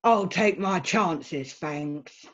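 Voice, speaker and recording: A woman playing a gruff, loyal, suspicious talking male beaver in an amateur screenplay readthrough. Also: on the low side, very slow, dull audio. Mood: suspicious, defiant.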